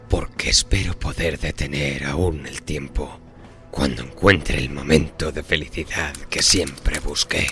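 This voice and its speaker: An actor playing a sly, calmly defiant man who bargained with the devil, in a horror audio drama. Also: extremely low pitch, heavily acted. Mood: hopeful, defiant. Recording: faint music behind the voice.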